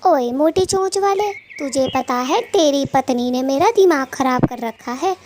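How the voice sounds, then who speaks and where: A woman's voice: sits high, animated, a little slow, noisy background. A woman voicing a bossy, quarrelsome female cartoon bird with a scolding, taunting way of talking. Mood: angry, threatening. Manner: scolding, taunting, colloquial bickering. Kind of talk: Hindi cartoon story dialogue.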